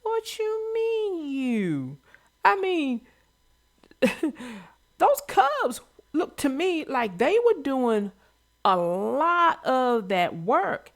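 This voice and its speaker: A woman's voice: high-pitched, big pitch swings, very slow, creaky. A woman co-hosting a casual chat about a children's story, emphatic and given to offering advice. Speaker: read-aloud show co-host.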